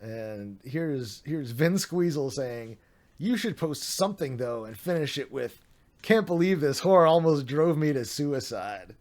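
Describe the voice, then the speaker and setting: A man's voice: fairly high pitch, expressive, measured pace, darkish tone. A man streaming, reading a document aloud with sarcastic, mocking asides, his voice getting a little worn.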